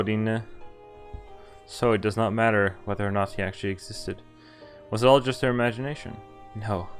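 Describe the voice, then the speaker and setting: Low-voiced man reading a story aloud. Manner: stumbles over words while reading. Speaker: game streamer reading visual novel text aloud. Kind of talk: read-aloud story narration. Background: game music.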